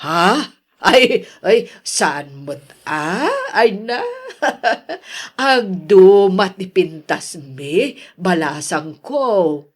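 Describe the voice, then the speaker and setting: A woman playing an older mother in a radio drama, exclamatory and chuckling, with drawn-out phrases and an Ilocano accent. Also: fairly low pitch, animated, heavily acted. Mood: amused, chatty. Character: older mother reminiscing about her lost beauty, lively and teasing.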